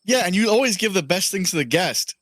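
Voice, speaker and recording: A man's voice: high voice, expressive, a little fast, a bit bright, dry recording. A man chatting casually as a co-commentator on a game stream, blunt and teasing. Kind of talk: casual chat.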